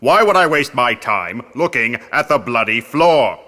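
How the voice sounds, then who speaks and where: A man's voice: mid-range voice, big pitch swings, a little slow, sharp tone. A man acting a pompous, lazy, grumbling archaeologist with a fussy manner in a comic audio drama. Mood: indignant. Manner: fussy, self-important.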